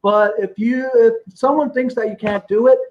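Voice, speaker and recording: A man with a high voice who stutters, stalls on "uh" and repeats words as he talks through his thoughts as a stream guest. The audio is dull and lo-fi. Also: steady tone. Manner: stuttering, halting, repeats words, many uh fillers. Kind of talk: casual live stream chat.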